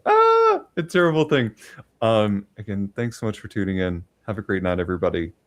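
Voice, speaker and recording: A male podcast host who is losing his voice, thanking the listeners in a halting way with many fillers. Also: fairly low pitch, wildly swooping pitch. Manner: warmly grateful, halting with fillers. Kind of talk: podcast outro.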